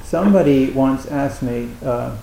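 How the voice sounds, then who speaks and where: A man giving a dharma talk as a Zen teacher, speaking haltingly with many restarts and a wry, self-mocking humor. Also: medium pitch, gravelly, dark tone, roomy sound, a little hiss or hum, poor audio. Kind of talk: dharma talk at a meditation retreat.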